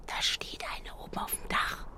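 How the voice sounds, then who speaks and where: An actress playing an anxious, excitable woman in an improvised radio comedy, switching between hushed speech and calling out. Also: normal speed, in a whisper. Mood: hushed alarm.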